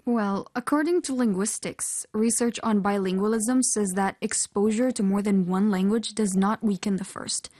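A woman's voice: medium pitch, moderate pace, hardly any pauses, dark tone, no room sound, studio quality. A woman from Oman co-hosting a podcast, speaking in an articulate, fluent, composed way. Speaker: podcast co-host. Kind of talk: conversational podcast discussion.

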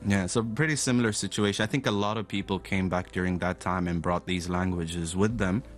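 A man from Oman co-hosting a podcast, asking lively, joking, curious questions. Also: low voice, brisk, soft background music. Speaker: podcast co-host. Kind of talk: casual podcast chat.

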